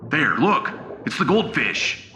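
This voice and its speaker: A bright, higher-pitched spaceship captain played by a man in a sci-fi adventure, excitable yet wary. His exclamatory lines leap around in pitch through an echoey, faintly noisy recording. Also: slow, faint music behind, exaggerated voice. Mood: excited. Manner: animated, exclamatory. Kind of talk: sci-fi adventure audio drama. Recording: echoey reverb with faint noise.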